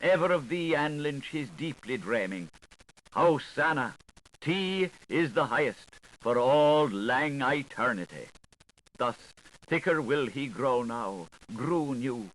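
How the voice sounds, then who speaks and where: A man reading a literary novel aloud as an audiobook, with a lilting, highly varied, expressive delivery. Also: slow pace, rough recording. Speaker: audiobook reader. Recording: faint tape hiss, 1980s recording.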